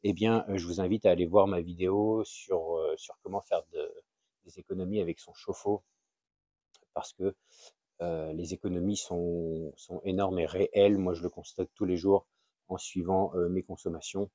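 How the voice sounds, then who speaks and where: A man giving an informal, unscripted explainer as a video host, halting and often searching for words. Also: sits low, steady tone, mellow, no room sound. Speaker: YouTube channel host sharing a tip.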